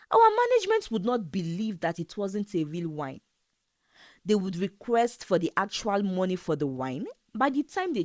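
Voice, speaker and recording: Storybook cousin: female narrator as a practical young woman, gently mocking, advising, lively intonation, dry sound. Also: medium pitch, no room sound. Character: sensible, hardworking young woman, teasing, cautious cousin.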